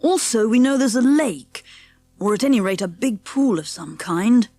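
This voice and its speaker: Woman as a confident schoolboy, lively high voice. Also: normal speed, dry recording, faint music behind. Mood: keen, thoughtful. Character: confident, polite schoolboy leader, eager and decisive. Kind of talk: children's audiobook character acting.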